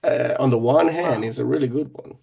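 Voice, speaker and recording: A man's voice: mid-range voice, normal speed, gravelly, mellow, breathy edge. A man who teaches children, talking in a casual podcast conversation, hesitant and often restarting his sentences.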